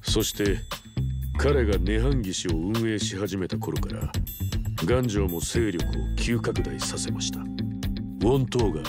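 An actor playing a cool, calculating executive fixer in a video game, speaking with stern, measured politeness. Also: male voice, lowish voice, animated, loud music behind the voice. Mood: coolly explaining. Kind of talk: Japanese video game cutscene acting.

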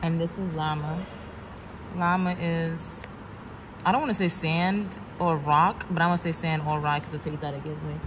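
Chatty low-voiced woman vlogging. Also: fairly even intonation, normal speed, background noise. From Guinea-Bissau. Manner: chatty, playful, talks straight to viewers. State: hoarse, losing her voice. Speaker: travel vlogger. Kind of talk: casual vlog chat to camera. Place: hotel room and lobby at night. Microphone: handheld phone camera.

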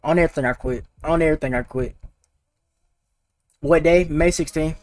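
A man's voice: a little high, normal speed, a little mellow, dry recording, faint music behind. A teenage boy streaming a game, chatting casually and slangily with his viewers. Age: teens. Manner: slangy and laid-back, talking to chat. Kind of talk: casual live-stream chat while gaming.

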